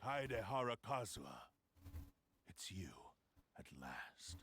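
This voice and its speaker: An actor playing a proud, grave cursed sword with a mind of its own in a video game, speaking in a slow, theatrical, formal way. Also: male voice, lowish voice, big pitch swings, fairly bright tone, slight background noise, full character voice. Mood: ominous. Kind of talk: scripted video game acting.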